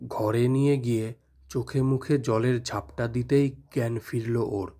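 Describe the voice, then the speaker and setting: A man narrating a scripted horror story in a measured, dramatic, suspenseful manner. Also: mid-range voice, fairly even intonation, warm tone.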